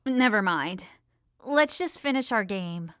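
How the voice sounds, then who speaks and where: A woman playing an excitable, stubborn sixteen-year-old girl with emphatic, dramatic delivery in an audiobook. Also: medium pitch, slow pace, clear, resonant tone. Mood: dismissive, insistent. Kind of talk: audiobook character dialogue.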